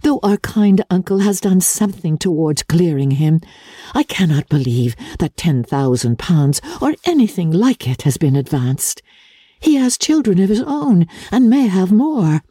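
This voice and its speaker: A woman voicing a gentle, hopeful young gentlewoman in a dramatic reading of a classic novel, earnest and soothing in formal period diction. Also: fairly low pitch, big pitch swings, unhurried, slightly rough, dry recording, clean studio sound, voice pushed up, exaggerated voice. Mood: hopeful, reassuring.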